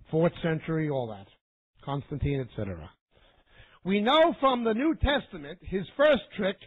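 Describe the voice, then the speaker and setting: This is a man preaching as a Bible teacher, with a high-pitched and husky voice. He is emphatic and didactic, hammering points home by repeating them. The recording is thin and narrow, like a telephone line. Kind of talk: sermon-like teaching lecture to a live audience. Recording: narrow, phone-like band.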